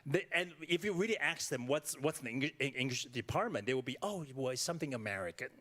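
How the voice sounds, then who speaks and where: A middle-aged man with a Taiwanese accent, speaking as an author at a library talk, animated and full of fillers and restarts. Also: mid-range voice, quick pace. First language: Taiwanese Mandarin. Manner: animated, many fillers and restarts, laughs easily. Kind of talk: casual author talk on stage. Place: library event room.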